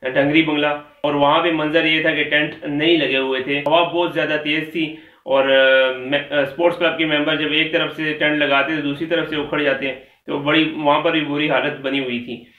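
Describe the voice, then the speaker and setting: A man's voice: fairly high pitch, normal speed. A man in his thirties with a Pakistani accent, telling a story casually to the camera in a small room at home, gesturing as he talks. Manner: chatty, animated with hand gestures. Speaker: vlogger. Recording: echoey room, dull lo-fi sound.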